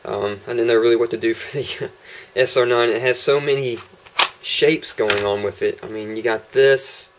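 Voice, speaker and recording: A man's voice: mid-range voice, steady tone, moderate pace, a little hiss or hum. A young man casually demonstrating holster prototypes on camera, rambling with lots of ums and uhs. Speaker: holster maker showing prototypes. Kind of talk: casual product demo vlog. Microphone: camera mic on a tripod.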